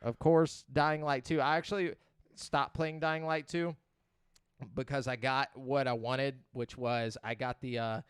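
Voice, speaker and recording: A man's voice: mid-range voice, steady tone, unhurried, smooth, no room sound, clean studio sound. A man hosting a casual podcast, reading game release news in a loose, profane, joking way and stumbling over names. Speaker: podcast host.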